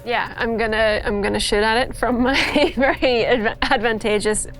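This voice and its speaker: A woman's voice: a little high, fairly even intonation, normal speed, a bit bright. A woman playing a tabletop roleplaying game, chatting casually with the group. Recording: clear music behind the voice. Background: music.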